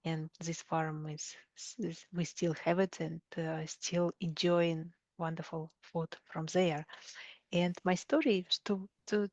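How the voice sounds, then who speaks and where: A woman with a Russian accent speaks slowly in a fairly low voice, pausing to search for words as she answers interview questions. Her pitch stays even, and the tone is conversational. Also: no room sound, muffled recording. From Siberia, Russia. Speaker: coach, interview guest.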